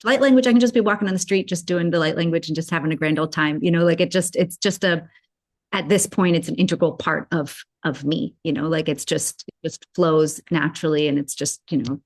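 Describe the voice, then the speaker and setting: A woman from Germany, speaking low in her range as she chats about her spiritual practice. She wanders through her thoughts with fillers and restarts, brightening and lifting when she gets excited. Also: a little fast. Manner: rambling, many fillers and restarts. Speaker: guest, spiritual channel. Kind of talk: casual conversational chat.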